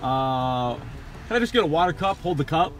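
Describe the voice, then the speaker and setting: A young American man chatting casually and deadpan from inside a car, playing the prankster at drive-thrus. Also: fairly high pitch, normal speed, slight background noise. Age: young adult. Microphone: passenger's handheld camera close by.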